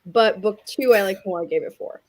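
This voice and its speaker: A woman's voice: mid-range voice, brisk, a little mellow, poor audio. A woman talking casually as a co-host in a book discussion. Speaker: read-along co-host. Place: online live video chat.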